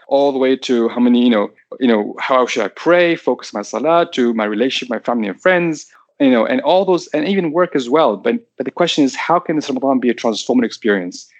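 A man in his thirties from Tanzania, talking quickly as a podcast guest. His voice is dry and close, and his storytelling is animated and loose, full of "you know" and "kind of." He sits at a middle pitch for a man. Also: dry recording, lo-fi sound. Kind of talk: conversational interview.

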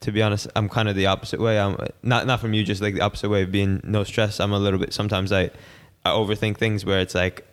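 A man's voice: fairly low pitch, flat delivery, quick pace, few pauses, clear, resonant tone. A man whose first language is Italian, chatting casually in an earnest, reflective way with some hesitant phrasing. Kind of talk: casual chat. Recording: long hall-like reverb.

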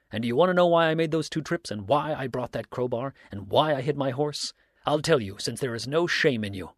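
A man's voice: medium pitch, no echo, clean studio sound, natural voice. A man voicing a defensive, indignant sheriff with wounded pride in an audiobook, emphatic and protesting. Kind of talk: audiobook character dialogue.

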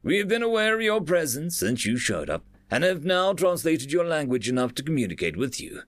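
Casting: man as cocky, easygoing alien fleet officer, animated raised voice, space-opera audiobook. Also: on the high side, fairly quick, no room sound, faint music behind, voice pushed up. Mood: smug. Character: male alien ship commander, breezy, smug, booming over ship.

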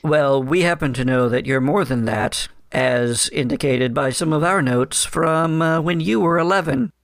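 A man voicing the leader of a group of investigators, talking in a slow, measured, halting way. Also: on the high side, vocal fry, dry recording, voice pushed up. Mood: earnest.

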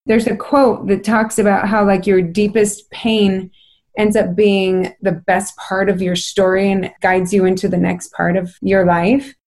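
A woman's voice: medium pitch, level tone, measured pace, darkish tone. Reflective woman podcast co-host. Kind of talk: interview questions.

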